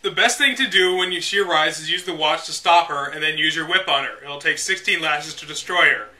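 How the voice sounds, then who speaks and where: A man's voice: on the high side, a little fast, pushed and bright, recorded from a distance, rough recording. A man hosting a read-aloud of a game guide, delivering it dramatically and breaking off for casual, sarcastic, exasperated asides. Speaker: host reading a game guide aloud with commentary.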